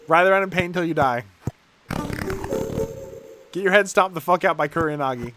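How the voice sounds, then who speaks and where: A man's voice: fairly high pitch, normal speed, very noisy. A man streaming a video game, reading its text aloud and reacting live in an irreverent, sweary, amused way.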